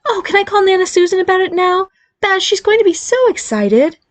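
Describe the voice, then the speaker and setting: Brief: woman doing a high bubbly fairy-godmother mom, anxious but reassuring, close dry sound. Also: expressive, a little fast, no echo. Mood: chirpy nervous. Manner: eager, reassuring, nervous, bubbly.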